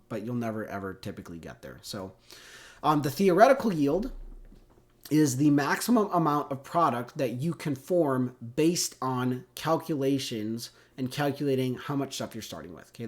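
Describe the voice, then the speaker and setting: A man with a congested cold, teaching a chemistry lesson in a casual, conversational way, often checking in with "right?" and "okay?". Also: medium pitch. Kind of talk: recorded classroom lecture.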